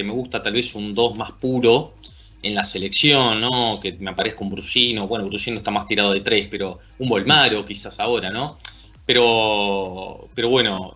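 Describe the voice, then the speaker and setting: A man's voice: fairly low pitch, sharp tone. Thoughtful Argentine man talking basketball. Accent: Argentine Spanish. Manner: thoughtful, tag questions. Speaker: basketball analyst. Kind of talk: casual sports discussion. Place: small room at home. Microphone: video call.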